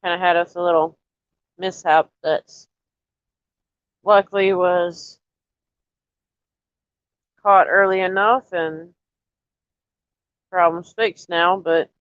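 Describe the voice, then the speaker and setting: A woman with a lowish voice rambles easily through a casual live stream, greeting viewers as she goes. She sounds a bit stuffy and nasal from allergies. The audio is dull and dry, like a lo-fi home stream. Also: slow, pauses often, dry recording. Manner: rambling, relaxed, talks straight to chat. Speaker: livestreamer chatting with viewers.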